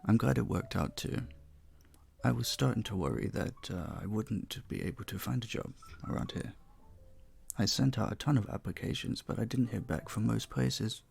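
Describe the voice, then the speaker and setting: Charming vampire bartender for audio roleplay male actor: deep-set pitch, some fry, polite and intimate, a touch formal. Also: normal speed, faint music behind. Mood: modest, conversational. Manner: courteous, soft-spoken, slightly formal, teasing. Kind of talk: audio roleplay, intimate one-sided dialogue.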